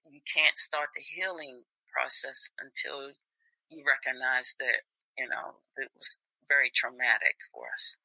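Slow, very bright, forceful woman's voice. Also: a bass voice, steady tone, dry recording, poor audio. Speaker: featured guest, storyteller and singer. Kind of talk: conversational interview talk.

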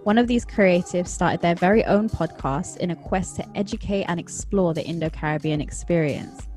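Low-voiced London woman hosting a podcast. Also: moderate pace, darkish tone, soft background music. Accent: London English. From England. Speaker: podcast host. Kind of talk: podcast interview.

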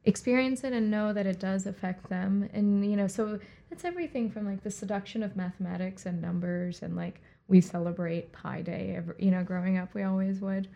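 A woman with a mellow, warm tone talks through her thoughts as an interview guest. Her first language is Hindi, and she grew up between India and Puerto Rico. She muses aloud, restarting phrases and leaning on fillers as she goes. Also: medium pitch, a little fast, vocal fry, hardly any pauses, dull audio. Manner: reflective, rambling with many fillers and restarts. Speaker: guest, artist and director. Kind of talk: conversational interview.

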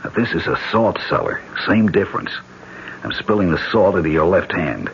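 Tough, commanding radio-drama hero, animated delivery. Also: male voice, deep voice, moderate pace, creaky, a little hiss or hum, exaggerated voice. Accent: American. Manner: slangy, commanding, terse. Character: tough, decisive American ex-secret-service man leading an escape. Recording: dull old radio broadcast, loud music behind. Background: music.

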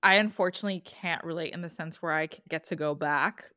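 A woman's voice: low voice, fast, pushed and bright, dry recording, clean studio sound. A young woman co-hosting a podcast, chatting casually and reflectively, affirming her co-host. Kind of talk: casual chat.

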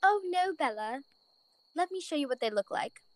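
A woman voicing a gentle mother rabbit who comforts her child in a bedtime storybook. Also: high voice, expressive, normal speed, no room sound, voice pushed up. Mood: soothing. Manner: soft, comforting, patient.

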